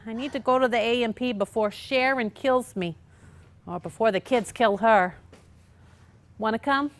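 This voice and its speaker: A woman's voice: a little high, normal speed, lightly acted. A woman acting a teasing, encouraging housewife friend in a staged comedy reading. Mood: playful, coaxing. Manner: persuasive, playful.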